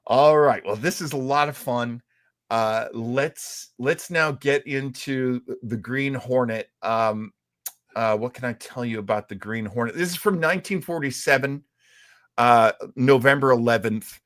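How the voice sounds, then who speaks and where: A man's voice: medium pitch, measured pace, a bit bright, no room sound, clean studio sound. A man co-hosting a retro radio show, chatting casually and enthusiastically in a rambling fan style. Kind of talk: casual live-stream chat about old radio serials.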